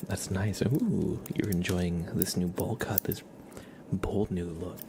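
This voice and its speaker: A man livestreaming ASMR, soft-spoken and playful, slipping between a murmur and a whisper as he chats and roleplays. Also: deep voice, steady tone, slow pace, noisy background. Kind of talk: ASMR live chat with roleplay, partly whispered. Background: scissor sounds.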